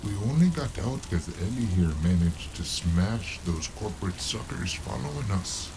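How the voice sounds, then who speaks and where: A man's voice: fairly low pitch, lively intonation, normal speed, gravelly, over music, low-quality recording. An actor playing a nervous, grumbling henchman in a noir audio drama, fretful and complaining. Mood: uneasy. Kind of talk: scripted audio drama dialogue.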